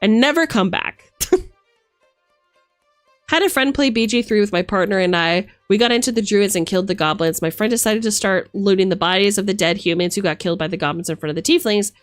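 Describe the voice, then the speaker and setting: A woman's voice: medium pitch, brisk, dry recording, studio quality. Emphatic, exasperated woman streamer over music. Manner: emphatic, exasperated, sweary, theatrical. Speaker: streamer and tabletop game master. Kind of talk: casual opinionated rant to stream viewers.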